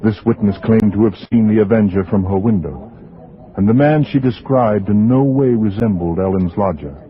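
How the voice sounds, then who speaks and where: An actor playing a grave narrator, delivering solemn, tense 1940s radio suspense narration. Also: male voice, fairly low pitch, normal speed, natural voice. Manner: dramatic, solemn, building tension. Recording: muffled 1940s radio with loud music.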